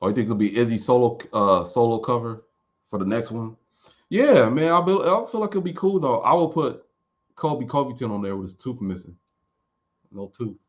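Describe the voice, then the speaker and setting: A man's voice: mid-range voice, muffled recording. Rambling man in his late thirties. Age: 30s. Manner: loose, rambling, frequent restarts. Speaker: YouTube remix producer answering viewers. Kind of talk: casual live chat.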